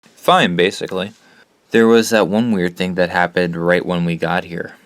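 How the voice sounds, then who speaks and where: A man's voice: low voice, little pitch movement, normal speed, dry recording, studio quality, close to an everyday voice. An actor playing an earnest teenage boy who leads and narrates for a group of survivors in an audio drama. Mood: sincere. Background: music behind the voice.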